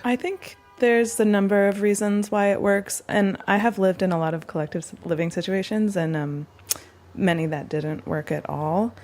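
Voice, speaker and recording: A woman's voice: mid-range voice, steady tone, moderate pace, a little mellow. A woman talking casually and reflectively in an interview. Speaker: interviewee, house resident.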